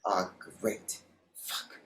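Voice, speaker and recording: A man's voice: very slow, fairly bright tone. A man playing a jaded, tough middle-aged prostitute in a screenplay table read, blunt and weary.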